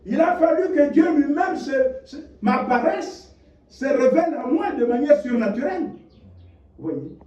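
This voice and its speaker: A high, very animated male voice, preaching with fire and swinging between hushed lines and shouted rhetorical questions. His tone is warm and mellow. The recording is dull and lo-fi, picked up from a distance in an echoey church hall. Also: a little slow. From DR Congo. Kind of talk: sermon.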